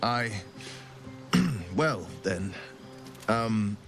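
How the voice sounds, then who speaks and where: Courtly, wistful gallant adventurer. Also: male voice, on the low side, animated, very slow, over music, exaggerated voice. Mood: flustered, tender. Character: gallant, wistful, modest male adventurer of noble upbringing. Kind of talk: cinematic fantasy game acting.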